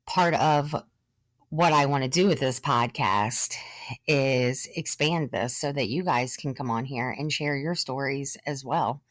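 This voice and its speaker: A woman in her thirties, a podcast host sharing her own story in an earnest, measured way, sometimes short of breath and pausing. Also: very deep voice, no room sound, studio quality. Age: 30s. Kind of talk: personal testimony monologue.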